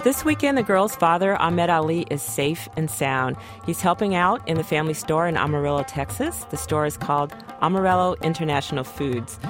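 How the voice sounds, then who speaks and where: A woman with a slightly low voice reads a radio update with a lively lift in her delivery. A clear music bed runs under her. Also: fairly quick, hardly any pauses. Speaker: radio host. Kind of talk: scripted radio narration.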